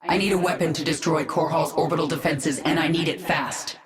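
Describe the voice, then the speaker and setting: A commanding alien queen bent on revenge in a video game cinematic. Her voice is dark for a woman and processed with echo, every order firm and imperious, over very loud music. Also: deep voice, fairly even intonation. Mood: commanding, menacing. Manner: imperious, resolute, deliberate. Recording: echoing, loud music behind.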